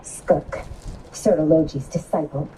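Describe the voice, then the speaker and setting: Cool, mellow-voiced swordswoman. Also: low voice, steady tone, slow, slight background noise, rough recording. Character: cool, blunt female swordswoman, a master's disciple. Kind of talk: dramatic video game story dialogue.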